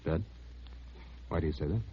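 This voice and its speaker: An actor playing an evasive official who is just doing his job in a 1950s radio drama, measured, soothing and noncommittal. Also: extremely low pitch, vocal fry, husky, exaggerated voice. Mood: cautious. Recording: muffled, noisy old radio recording.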